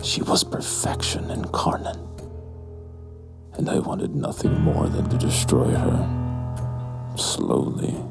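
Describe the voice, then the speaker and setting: A man playing a brooding, lustful, menacing narrator in a horror audio drama, with slow, deliberate, breathy delivery. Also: over loud music, lightly acted. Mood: dark, hungry. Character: brooding, lustful, menacing male narrator recounting a dark memory.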